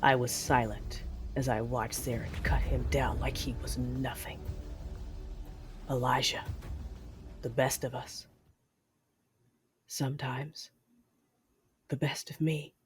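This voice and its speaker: A woman's voice: very deep voice, fairly even intonation, slow pace, long pauses. A woman playing a cold, vengeful female Sith lord in an audio drama, controlled and menacing as she narrates her deeds. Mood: brooding. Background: music.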